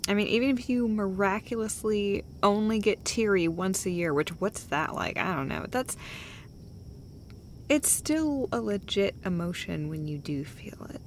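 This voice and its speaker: A woman plays a gentle, caring girlfriend in an intimate audio roleplay. She speaks slowly and hesitantly, soft and breathy with gravelly fry, often dropping toward a whisper. There is clear background noise behind her. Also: mid-range voice, lively intonation. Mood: reassuring, lightly teasing. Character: caring, gentle, casually sweary girlfriend comforting her partner.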